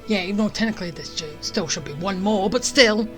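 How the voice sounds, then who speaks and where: A game streamer giving casual playthrough commentary in muttered, deadpan asides. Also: mid-range voice, a little fast. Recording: game music behind the voice.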